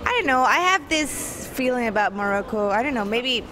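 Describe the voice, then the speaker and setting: A woman's voice: a little high, animated, normal speed, crowd noise. A woman from South America answering a street interviewer outdoors in the cold, upbeat and a little hesitant. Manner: upbeat, hesitant, repeats herself. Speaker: passerby interviewee. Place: outdoors in a city.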